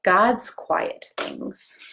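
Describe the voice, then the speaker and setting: An American woman, a pastor, reading a children's story aloud with gentle, simple asides and questions for young listeners. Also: extremely slow, a little hiss or hum, low-quality recording. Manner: gentle, simple questions addressed to small children, often hushed.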